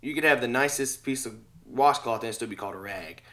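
Rambling host, mid-pitched man. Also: quick pace, pushed and bright, a little hiss or hum. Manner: rambling, chuckles between remarks. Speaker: podcast host. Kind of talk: casual interview chat.

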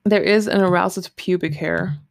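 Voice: mid-range voice, steady tone, fairly quick, gravelly, no room sound, clean studio sound. A host chatting casually and candidly alone, rambling informally with some swearing. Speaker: solo podcast host. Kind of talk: casual rambling chat, commenting on a list.

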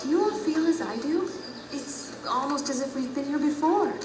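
A woman playing an earnest young traveller in a fantasy audio drama, expressive and full of wonder. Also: sits high, moderate pace, a little hiss or hum. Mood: awed, delighted. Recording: roomy, off-mic, music behind.

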